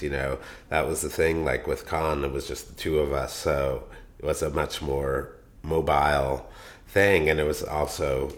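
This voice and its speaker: A man who is a musician, telling stories about his band in a rambling, animated way with many fillers. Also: extremely low pitch. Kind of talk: interview storytelling.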